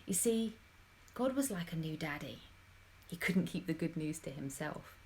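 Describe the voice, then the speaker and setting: Female storyteller, lowish voice, animated and dramatic children's reading, speaks straight to the young audience. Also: slight background noise. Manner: animated, dramatic, addressing young listeners directly. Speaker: storybook reader.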